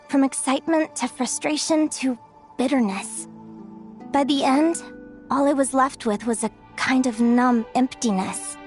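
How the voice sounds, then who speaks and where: An actress playing an earnest, curious young changeling heroine. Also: female voice, high-pitched. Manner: earnest, questioning. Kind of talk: game voice acting. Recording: game audio with faint music.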